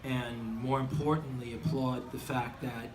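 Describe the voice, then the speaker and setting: A man with a mid-range voice works as an interviewer. His questions are earnest, deliberate and wordy. Faint background noise sits behind him. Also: mid-range voice, steady tone. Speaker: interviewer, aviation enthusiast.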